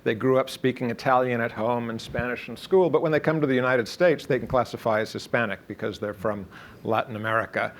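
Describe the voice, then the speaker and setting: A man on a discussion panel, offering measured, reflective comments. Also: medium pitch, steady tone.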